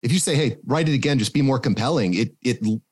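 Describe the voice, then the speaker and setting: A man moderating a casual live session speaks in an easygoing, storytelling way, with a few restarts as he thinks. His pitch sits in an ordinary middle range. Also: fast, a little mellow, husky. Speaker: podcast agency owner, session moderator. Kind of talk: casual conversation.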